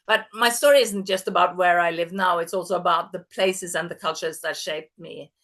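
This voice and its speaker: A woman in her fifties leads an online masterclass over a video call. Her voice sits fairly low, and her delivery is upbeat and chatty. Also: fairly even intonation, moderate pace, fairly bright tone. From Norway. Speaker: masterclass presenter. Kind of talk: online workshop talk.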